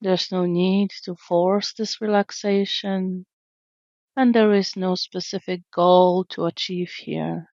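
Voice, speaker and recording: A woman leading a guided meditation in a gentle, soothing, unhurried way with long pauses, in a slightly dull recording. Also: fairly low pitch, steady tone, no room sound. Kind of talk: guided meditation, slow calm narration.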